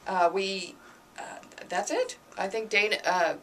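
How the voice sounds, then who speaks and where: A woman's voice: medium pitch, animated, measured pace, a bit bright, far from the mic, slight background noise. A woman co-hosting a radio show, chatting casually and making announcements. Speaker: radio co-host.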